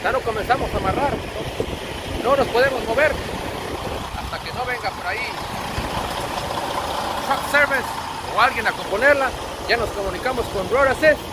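A Mexican man with a high-pitched voice talks in a casual vlog style. He is chummy and slangy, like a trucker addressing his pals. Clear wind and engine noise sits behind his words. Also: moderate pace, long pauses. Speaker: truck driver and vlogger. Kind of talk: casual vlog narration to camera.

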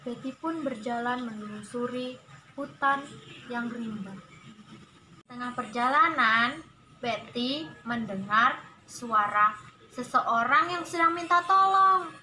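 High-pitched, slow young woman storyteller. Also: sharp tone, slight background noise, rough recording. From Indonesia. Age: young adult. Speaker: student storyteller. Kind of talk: storytelling for children.

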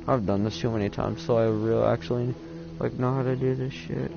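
A deep-voiced teenage boy chatting casually with a friend while playing a game, laid-back and swearing a lot. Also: steady tone, fairly quick, vocal fry, highs cut off, music in the background. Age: teen. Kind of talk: casual gaming chat.

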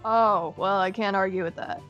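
A woman's voice: medium pitch, steady tone, moderate pace, fairly bright tone, muffled recording, faint music behind, natural voice. A woman voicing an exasperated young woman reading fan fiction aloud with dry, put-upon delivery in a Minecraft roleplay.

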